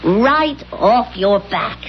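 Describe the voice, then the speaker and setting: Bossy, high-voiced scheming wife. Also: female voice, expressive, extremely slow, background noise. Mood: coaxing, pushy. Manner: insistent, pushy. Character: bossy, scheming, coaxing middle-aged wife. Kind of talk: 1970s radio drama acting. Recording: muffled old radio broadcast, faint music.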